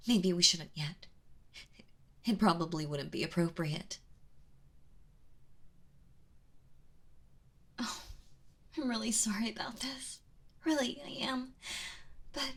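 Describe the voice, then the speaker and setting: A woman playing a kind but obsessive, lovesick yandere captor in an intimate ASMR audio roleplay, speaking softly and hesitantly in a pleading, breathy way. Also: mid-range voice. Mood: flustered, apologetic, then soft, regretful. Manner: hesitant, pleading, soft-spoken with breathy drops into whispers.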